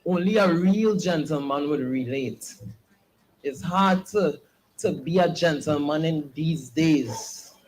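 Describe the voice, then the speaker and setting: A young man, performing spoken word poetry with a slow, earnest, pleading delivery at an open mic. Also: fairly high pitch, a little mellow, a little hiss or hum, poor audio. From Trinidad and Tobago.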